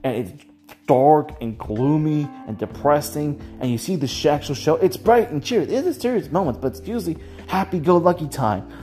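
A host talks alone in a mellow, relaxed tone at a middling pitch. The delivery is casual and rambling, hesitant and circling back over phrases. Music plays faintly behind the voice. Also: normal speed. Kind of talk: casual rambling solo commentary.

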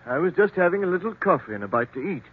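A formal, deferential manservant in an old radio mystery. His voice is fairly high for a man and husky, on a tinny vintage recording. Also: sing-song, racing, exaggerated voice. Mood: obliging, polite. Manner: formal, obliging. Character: deferential, polite household servant. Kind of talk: 1940s radio mystery acting.

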